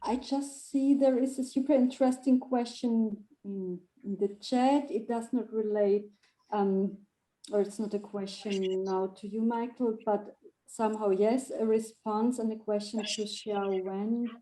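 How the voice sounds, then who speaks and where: A woman's voice: medium pitch, fairly even intonation, measured pace, warm tone, reverberant, poor audio. A woman moderating an online panel, phrasing her questions carefully and searching for words.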